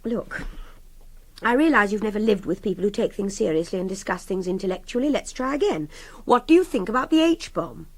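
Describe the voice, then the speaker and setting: A woman's voice: mid-range voice, expressive, brisk, close to an everyday voice. An actress playing a defiant, superior young captive woman with an English accent, articulate and impatient, in radio drama dialogue. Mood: impatient, goading. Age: young adult.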